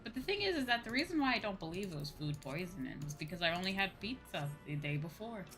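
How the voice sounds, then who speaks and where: A woman's voice: mid-range voice, very animated, fast, fairly bright tone, faint music behind. A woman streaming a game and chatting casually, with a sweary, playfully whiny and emphatic manner. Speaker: streamer, VTuber host.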